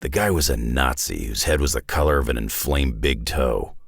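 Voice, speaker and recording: An actor playing a gruff, battle-worn older mutant brawler in a video game, with a deep gravelly rasp and a terse, sardonic, folksy tough-guy delivery. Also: normal speed, dry recording, clean studio sound, heavily acted. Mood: wry, low. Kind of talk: video game character voice lines.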